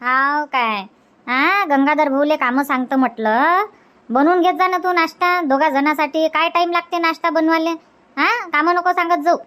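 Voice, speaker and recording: Cartoon village aunt, acted by a woman: high voice, Varhadi Marathi accent, bossy and hospitable, nags by repeating herself. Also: steady tone, normal speed, fairly bright tone. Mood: chatty. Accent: Varhadi Marathi from Vidarbha. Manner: insistent, repetitive, scolding. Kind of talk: Marathi cartoon comedy dialogue.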